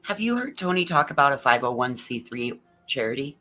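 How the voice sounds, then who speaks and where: A woman's voice: very deep voice, sing-song, fairly quick, pushed and bright. A woman speaking as a guest in a casual livestream chat.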